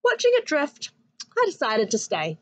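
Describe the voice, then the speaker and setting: A woman in her thirties with a New Zealand accent reads a children's picture book aloud with an expressive, sing-song rhyming delivery. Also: high voice, normal speed, highs cut off. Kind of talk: storybook read-aloud for children. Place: living room at home. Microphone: webcam at arm's length.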